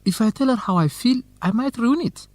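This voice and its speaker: An actor playing an earnest, cautious, lovestruck young research assistant in an educational radio drama. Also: male voice, very high-pitched, animated, brisk. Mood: worried. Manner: earnest, anxious, principled.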